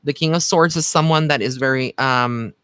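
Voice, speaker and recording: A man's voice: mid-range voice, normal speed, pushed and bright, clear, resonant tone, dry recording. A man working as a psychic medium, giving card readings in a casual, chatty livestream style with informal endearments for his listeners. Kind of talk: casual livestream chat with viewers.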